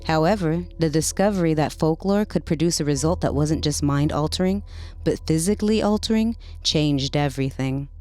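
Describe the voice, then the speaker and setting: A woman's voice: low voice, normal speed, a little mellow. A woman narrating a short story as a dramatic reading.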